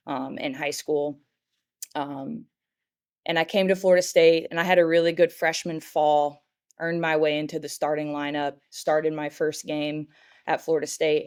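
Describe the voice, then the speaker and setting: A young American woman raised in the Midwest, a softball coach talking casually and cheerfully as a podcast guest, with frequent "um" fillers. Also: deep voice, clean tone. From United States (grew up in St. Louis, Missouri). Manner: friendly, upbeat, frequent "um" fillers. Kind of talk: casual interview chat.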